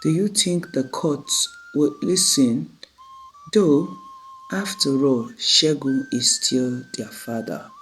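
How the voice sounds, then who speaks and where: A storyteller voicing a worried, weary elderly grandmother in a family tale, speaking deliberately and anxiously. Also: medium pitch, huge pitch swings, a bit bright, faint music behind, exaggerated voice. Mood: doubtful.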